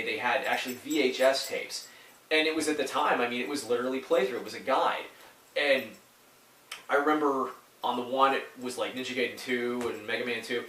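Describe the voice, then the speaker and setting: A man's voice: medium pitch, pushed and bright, recorded from a distance, a little hiss or hum. Stuffy-nosed man rambling in an echoey room. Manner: rambling, full of fillers and restarts. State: stuffy from a head cold. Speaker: YouTuber reviewing retro games. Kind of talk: casual talk to camera. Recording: echoey room, a little off mic.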